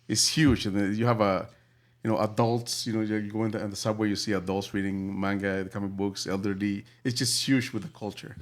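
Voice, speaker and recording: A man from El Salvador hosting a casual movie review podcast, talking in halting bursts full of fillers and restarts. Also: lowish voice, level tone. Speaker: podcast host.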